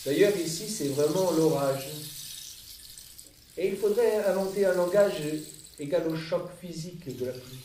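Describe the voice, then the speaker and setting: Male reciter, slightly high voice, very slow deliberate phrasing, poetic monologue, distant microphone, watery hissing noise. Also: mellow. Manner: measured, deliberate phrasing with pauses. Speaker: monologue performer. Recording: roomy, distant, noisy with water-like hiss.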